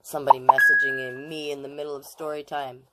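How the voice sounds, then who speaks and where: A woman in her late forties hosting storytime, reading aloud to children with expressive emphasis and chatty asides. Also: rumbling low, fast talker, heavy background noise. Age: late 40s.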